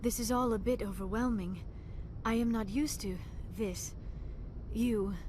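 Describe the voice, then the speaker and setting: Actress as shy alien woman scientist, soft-spoken, formal, earnest, video game dialogue, faint rumble behind. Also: a little slow, natural voice. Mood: flustered, tender. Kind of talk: scripted video game acting.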